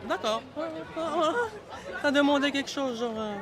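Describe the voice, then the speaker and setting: A high-voiced man in an informal religious street debate, with a clear bustle of people around him. He presses his challenge insistently, stumbling and repeating phrases as he goes. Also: normal speed. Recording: outdoor crowd noise behind the voice.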